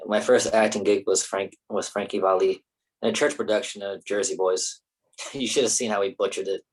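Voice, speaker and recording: A man's voice: lowish voice, fairly even intonation, moderate pace, husky. A man playing an easygoing, reassuring, joking boyfriend in a staged play reading. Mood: warm, teasing.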